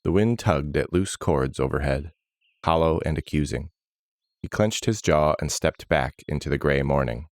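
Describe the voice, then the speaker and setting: A man's voice: very deep voice, moderate pace, dry recording, studio quality. A man reading a scripted fantasy story as its narrator.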